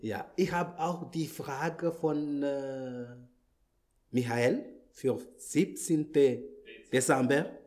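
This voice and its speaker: A visiting preacher, a man whose first language is Malagasy, speaks German with a clear French accent. He talks very slowly, pausing and filling gaps with hesitant sounds as he searches for words. His pitch sits at an ordinary middle level. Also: a little mellow. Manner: halting, many fillers and restarts, searches for words. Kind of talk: church greeting and sermon opening. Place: church hall.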